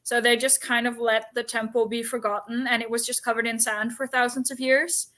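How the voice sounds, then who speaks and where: A woman's voice: on the high side, level tone, brisk, pushed and bright. A woman chatting casually and explaining things in an informal, talkative way, with asides. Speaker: book discussion co-host. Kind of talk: casual chat about books and history.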